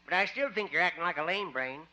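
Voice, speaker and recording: Jokey small-town vet, high bright voice, cracks himself up, old muffled radio sound. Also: male voice, expressive, fast. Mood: teasing. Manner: jokey, teasing, laughs at own gags. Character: wisecracking small-town veterinarian, joking old man. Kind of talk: 1950s radio sitcom acting. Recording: muffled hissy 1950 radio transcription.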